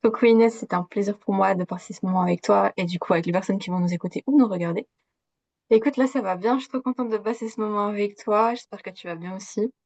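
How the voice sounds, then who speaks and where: A woman's voice: medium pitch, quick pace, a little mellow, dry recording, dull audio. Young woman from switzerland chatting on a podcast, lots of euh, dry close sound. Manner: chatty, many fillers, laughs easily. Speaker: podcast guest, coach. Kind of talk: casual conversational interview.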